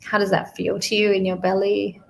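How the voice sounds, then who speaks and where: A woman guiding a meditation slowly and soothingly, repeating phrases with pauses, in a creaky, gravelly voice. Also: mid-range voice, little pitch movement. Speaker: guided meditation leader. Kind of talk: guided money meditation, spoken softly to the listener.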